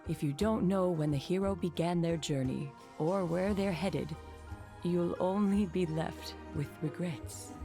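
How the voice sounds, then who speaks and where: A woman's voice: on the low side, measured pace. An actress playing a kindly, wistful older woman who collects comic books, in a scripted video game scene. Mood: reflective. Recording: music playing behind. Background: clear background music.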